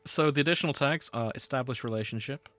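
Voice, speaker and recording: A man's voice: mid-range voice, quick pace, a bit bright, no echo. A man co-hosting a podcast in casual comedic chat, with a dry, matter-of-fact manner. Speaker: podcast co-host.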